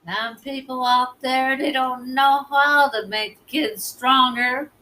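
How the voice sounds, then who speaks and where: Woman co-host, extremely high-pitched, bright, silly, childlike, casual playful banter. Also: steady tone, slow pace, rough recording. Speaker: co-host, host's mother.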